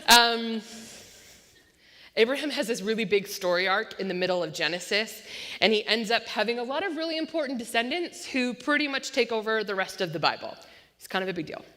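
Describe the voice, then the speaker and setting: A woman pastor preaching a sermon with an unusually loud voice, talking fast and slipping in self-deprecating jokes. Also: a little high, lively intonation. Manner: self-deprecating joking asides; tends to speak fast. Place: church sanctuary. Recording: long church-hall reverb.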